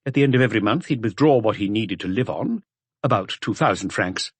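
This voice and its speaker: A man's voice: lowish voice, expressive, a little fast, husky, dry recording. A man narrating a mystery audiobook from a script.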